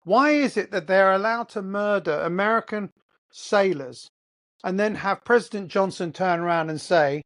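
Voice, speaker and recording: A man's voice: high voice, moderate pace, fairly bright tone. Heated, blunt English man ranting. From England. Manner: heated, blunt and profane, with rhetorical questions. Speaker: host. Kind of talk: ranting monologue.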